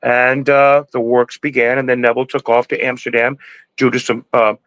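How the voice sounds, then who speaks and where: A man's voice: mid-range voice, level tone, normal speed, a bit bright, no echo, low-quality recording. Guy in his sixties rambling on a podcast, stops and restarts a lot, dead dry mic. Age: 60s. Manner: rambling, preachy, lots of restarts. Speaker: podcast guest, cannabis breeder. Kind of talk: casual interview talk.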